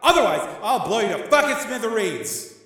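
A man voicing a hot-headed, foul-mouthed gangster's son in an audiobook, suspicious, menacing and yelling. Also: sing-song, normal speed, very echoey, big-room sound, heavily acted. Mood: defiant. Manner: yelling, taunting, high-strung.

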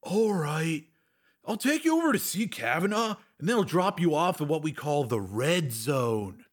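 A man's voice: on the high side, very animated, normal speed, voice pushed up. A man voicing a cautious, reluctant local husband in an audiobook, speaking in a hesitant, cautioning way. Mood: resigned. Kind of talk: audiobook character acting.

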